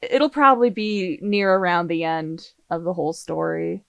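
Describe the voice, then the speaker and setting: A woman's voice: on the low side, lively intonation, moderate pace, vocal fry, a bit bright, smooth, no echo. A woman chatting casually as a podcast panelist, an enthusiastic and knowledgeable fan.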